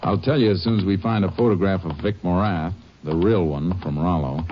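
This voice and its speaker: An actor playing a shrewd, terse Texas Ranger in a 1950s radio drama, speaking matter-of-factly. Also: male voice, sits low, brisk, over music, close to an everyday voice. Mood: steady, shrewd. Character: steady, shrewd Texas Ranger investigator, adult man. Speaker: lead actor and narrator. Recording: muffled vintage radio recording.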